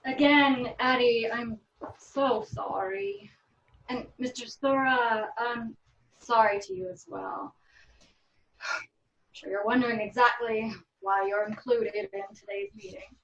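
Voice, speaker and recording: A woman reading the part of a composed lawyer in her fifties, formal and measured, for a TV drama table read. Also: fairly high pitch, animated, a bit bright, echoey room, dull audio, a little hiss or hum, exaggerated voice. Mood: sympathetic. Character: Madeline, composed 55-year-old female small-town lawyer.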